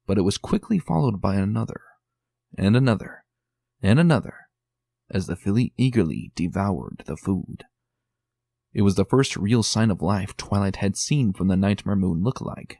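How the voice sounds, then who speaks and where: A man narrating a dramatic reading of a fan story in a measured, steady storytelling manner. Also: fairly low pitch, creaky, no room sound, studio quality.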